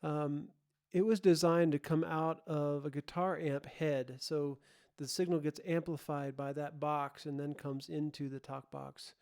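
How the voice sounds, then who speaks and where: Clear, higher-voiced man explaining music gear. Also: little pitch movement, unhurried, darkish tone. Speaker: presenter of a music gear comparison. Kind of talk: casual explanatory demo talk.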